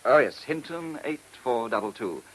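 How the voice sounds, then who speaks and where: A man's voice: mid-range voice, expressive, moderate pace, a bit bright, natural voice, rough recording. A man with a British accent playing an eager, smug junior police detective in a radio drama, deferential yet cocky. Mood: eager, efficient. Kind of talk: mid-century British radio drama acting. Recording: old radio recording with faint hiss.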